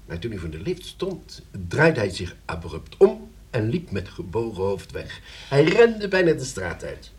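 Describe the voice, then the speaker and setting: Older male professor for radio play: dignified, vain, husky, with very varied intonation. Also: lowish voice, wildly swooping pitch, unhurried, heavily acted. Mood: measured recounting. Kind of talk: radio drama acting.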